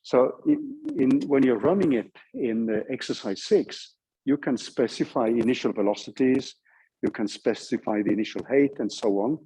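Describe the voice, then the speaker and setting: A man's voice: mid-range voice, very animated, moderate pace, warm tone, husky, poor audio. A man with a Norwegian accent giving an informal university lecture, explaining things step by step and checking in with his listeners. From Norway. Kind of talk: informal lecture walking through code.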